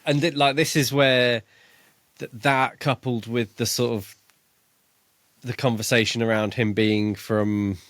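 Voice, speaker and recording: A man co-hosting a podcast, casually discussing a book in a tentative, halting way as he thinks aloud. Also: medium pitch, steady tone, fairly bright tone, no echo. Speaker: podcast co-host.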